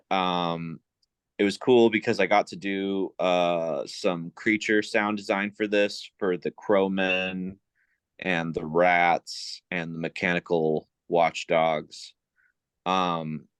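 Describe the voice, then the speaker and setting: A man with a very low voice talks slowly through an interview answer. His tone is smooth and clean, and the sound is dry and close. He pauses often with ums and uhs. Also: a bit bright, no echo. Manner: unhurried, many ums and uhs. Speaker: composer and sound designer guest. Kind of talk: casual interview talk.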